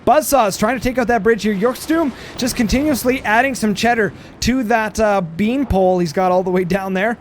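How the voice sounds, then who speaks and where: A man giving rambling play-by-play commentary on a strategy game, hoarse and losing his voice, full of fillers and restarts. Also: high voice, fairly quick, hardly any pauses, a little hiss or hum.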